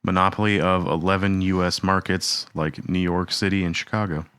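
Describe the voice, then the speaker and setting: A man with a very low voice co-hosts a laid-back podcast, tossing in jokey asides. His tone is bright despite the depth, and the recording is dry and close. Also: steady tone, moderate pace, smooth, dry recording. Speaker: podcast co-host. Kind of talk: casual chat.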